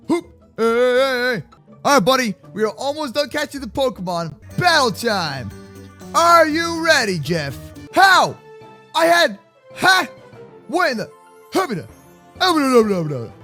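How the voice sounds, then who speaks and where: A very high-voiced young man plays through a kids' gaming roleplay. His delivery is bright and animated, full of exclamations and slang. Faint music sits behind him. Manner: exclamatory, slangy, often shouting, playful. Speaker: host of a gaming roleplay channel. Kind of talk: excitable children's gaming roleplay commentary.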